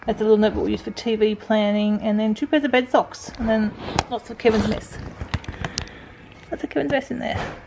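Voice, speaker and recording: Tired, croaky Australian woman vlogging at home. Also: medium pitch, flat delivery, brisk, background noise. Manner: tired, rambling, self-talking. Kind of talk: casual home vlog. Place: indoors at home.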